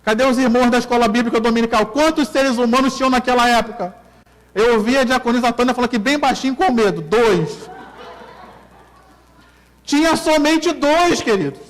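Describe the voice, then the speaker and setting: A man's voice: extremely high pitch, moderate pace, in a large hall. A man from Brazil with a Carioca accent, preaching a sermon as pastor, repeating key lines and questioning the congregation. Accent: Carioca Brazilian Portuguese. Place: church hall.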